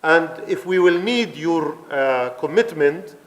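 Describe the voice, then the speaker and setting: A man's voice: on the high side, lively intonation, takes it slowly. A man from the Palestinian community inside Israel, giving a passionate conference talk with fillers and restarts. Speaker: guest speaker at a conference. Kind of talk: public talk with questions and answers. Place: event hall in Italy.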